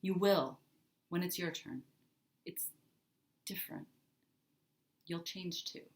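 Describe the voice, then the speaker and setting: A woman voicing a gruff, exasperated older boy who talks firmly and insistently, in a story reading. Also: lowish voice, slow pace. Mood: resigned, curt. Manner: firm, insistent. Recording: roomy, echoing indoor sound.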